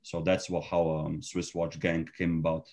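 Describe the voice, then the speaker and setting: Man, Slovenian accent, very low voice, laughs a lot, casual guest talk. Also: little pitch movement, normal speed, darkish tone. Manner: laughs often, many restarts. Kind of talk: casual interview chat.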